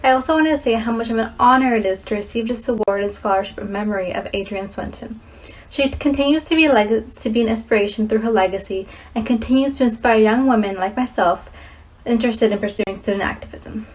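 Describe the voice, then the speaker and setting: A woman's voice: on the high side, a little mellow, rough recording. Earnest teenage girl reading a thank-you video, stumbles and restarts a bit. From California. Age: teens. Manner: earnest, slightly halting with restarts. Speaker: scholarship winner. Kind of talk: recorded video speech.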